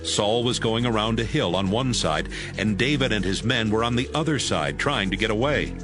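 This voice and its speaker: A man's voice: on the low side, lively intonation, moderate pace, over music. A man narrating a dramatized audio Bible as a scripted story.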